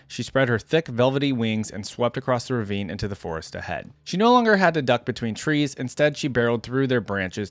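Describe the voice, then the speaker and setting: A man's voice: medium pitch, no echo. A man reading fantasy lore stories aloud and adding casual reaction commentary as he thinks aloud. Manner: casual, thinks aloud between read passages. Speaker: host of a fan reaction video.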